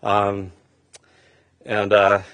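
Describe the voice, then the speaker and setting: A man giving a halting funeral eulogy for his mother in a funeral chapel, with many fillers and restarts. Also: fairly low pitch, monotone, fairly bright tone.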